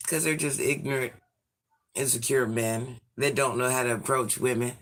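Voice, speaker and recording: A man in his forties, hoarse from illness, chatting sassily with viewers on a livestream and reading out their comments. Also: mid-range voice, steady tone, moderate pace, fairly bright tone, poor audio. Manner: sassy, chatty, addresses viewers by name. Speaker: livestream host.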